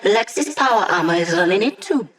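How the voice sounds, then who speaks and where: A woman's voice: medium pitch, lively intonation, normal speed, close to an everyday voice. A woman voice actor playing an upbeat, wisecracking young woman hacker in a video game, casual, playful and teasing. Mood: focused, informative.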